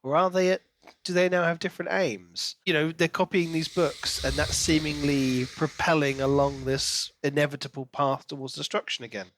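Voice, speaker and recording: A man's voice: on the high side, fairly even intonation, normal speed, a bit bright. A man co-hosting a podcast, casually discussing a novel and musing aloud in questions. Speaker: book podcast co-host.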